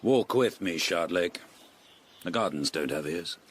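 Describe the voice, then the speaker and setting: A deep-voiced, shrewd English lord. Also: male voice, expressive, a little hiss or hum. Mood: grave, confiding. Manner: authoritative, deliberate, aristocratic. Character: older male Tudor lord, shrewd, commanding courtier. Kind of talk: radio drama acting.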